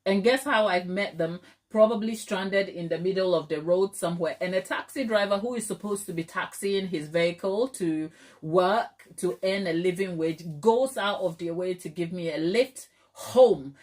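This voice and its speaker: A woman's voice: on the low side, moderate pace, a little mellow. A middle-aged woman from Ghana hosting a live stream, chatting casually with viewers, laughing often and using plenty of fillers. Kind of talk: casual chat reading viewer comments.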